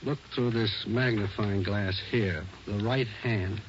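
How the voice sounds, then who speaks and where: An actor playing a methodical police lab technician in a 1940s radio drama, speaking in terse, matter-of-fact lines. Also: male voice, lowish voice, slow pace. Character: methodical male crime lab technician, businesslike. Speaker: supporting actor. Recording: muffled, noisy old radio recording.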